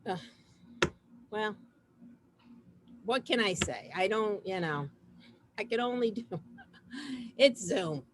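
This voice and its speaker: A woman casually hosting an online community gathering over a video call, chatting informally in a halting way with trailing asides. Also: medium pitch, expressive. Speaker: host of an online community program. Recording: noisy video call audio.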